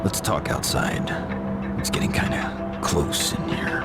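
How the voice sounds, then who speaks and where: An actor playing a grim, menacing middle-aged vigilante in a video game, speaking tersely with deadpan menace. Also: male voice, unhurried, close to an everyday voice. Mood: cold menace. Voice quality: gravelly. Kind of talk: video game cutscene acting. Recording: loud game music over the voice.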